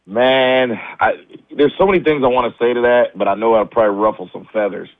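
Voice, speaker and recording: A man, a high school basketball coach, talking candidly in a radio interview with lots of restarts; his voice is a little raspy as he recovers from the flu. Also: a little fast, no echo. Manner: candid, many restarts and fillers. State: recovering from flu, low energy. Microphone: phone line. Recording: tinny dry phone line.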